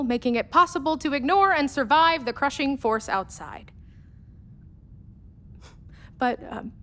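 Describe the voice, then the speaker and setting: Troubled female ship engineer; high voice, confessional, wry self-mockery. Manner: confiding, wry monologue. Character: claustrophobic woman spaceship engineer, self-mocking, troubled. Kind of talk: radio play monologue.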